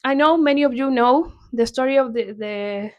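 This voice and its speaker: A woman's voice: high voice, highs cut off. A young woman from Argentina with an Argentine accent, a native Spanish speaker chatting casually as a book vlogger and often restarting her sentences. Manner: unhurried, chatty, restarts and corrects herself often. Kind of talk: casual chat.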